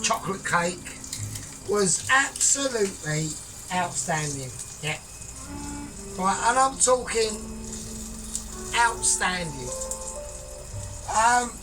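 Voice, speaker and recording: A woman with a croaky voice, chatting casually while she cooks in her home kitchen, rambling and tagging "right?" onto her sentences as she judges a bake-off. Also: mid-range voice. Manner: rambling, keeps asking "right?", repeats herself. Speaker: home cook and vlogger. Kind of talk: casual cooking commentary, judging a bake-off. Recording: distant mic with clear music behind. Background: music.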